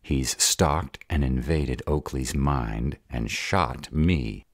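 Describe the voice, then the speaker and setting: A man voicing a gruff, protective, short-tempered were-dragon in an audio roleplay, grumbling and sarcastic in a gravelly voice. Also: slow, no room sound, clean studio sound, exaggerated voice. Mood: exasperated, angry. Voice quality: deep, gravelly.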